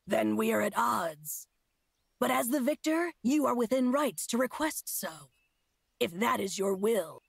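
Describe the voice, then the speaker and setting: Husky, creaky feral huntress. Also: female voice, fairly high pitch, expressive, no room sound, studio quality, exaggerated voice. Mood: grave, measured. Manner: slow, cryptic, solemn speech. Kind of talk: fantasy game character acting.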